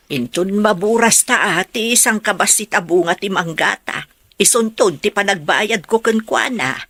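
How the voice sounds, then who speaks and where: A woman plays an easygoing villager chatting in an audio drama. Her voice is medium in pitch with an Ilocano Filipino accent, recorded close and dry. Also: moderate pace, a bit bright, no echo. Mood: casual.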